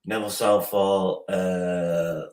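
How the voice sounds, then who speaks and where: A man guesting on a casual football podcast who talks quickly, repeats himself and pushes his points in short bursts. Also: low-pitched, level tone, darkish tone. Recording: echoey room.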